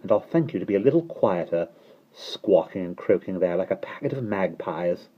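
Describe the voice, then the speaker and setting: A man's voice: sits low, lively intonation, moderate pace, slightly rough. A man doing a curt, stern nanny. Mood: scornful. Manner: curt, scoffing. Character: brisk, stern nanny woman, sharp-tongued. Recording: dull lo-fi recording.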